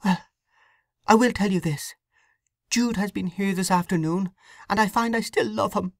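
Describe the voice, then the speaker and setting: A woman's voice: medium pitch, normal speed, no room sound, studio quality, voice pushed up, lightly acted. A woman voicing a young, distraught woman torn by conscience, pleading and breathless. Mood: anguished.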